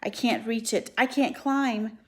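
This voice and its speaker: A woman's voice: a little high, steady tone, moderate pace, a little mellow, close to an everyday voice. A woman voicing a young male pig who pleads and cries out in desperation. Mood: frantic.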